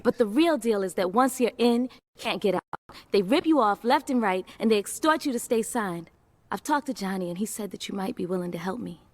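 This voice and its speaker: Game character, young female star, actress: medium pitch, blunt, proud, exasperated. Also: animated, brisk, natural voice. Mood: earnest. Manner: sharp-tongued, blunt.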